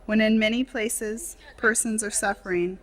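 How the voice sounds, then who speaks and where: A woman reading a translated tribute and speech as a voice-over, in measured, formal phrasing with short clauses. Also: on the high side, steady tone, rough recording.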